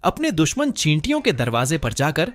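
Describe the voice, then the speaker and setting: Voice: mid-range voice, wildly swooping pitch, normal speed, no room sound. A narrator reading scripted comic narration for funny cartoons. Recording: loud music behind the voice. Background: loud music.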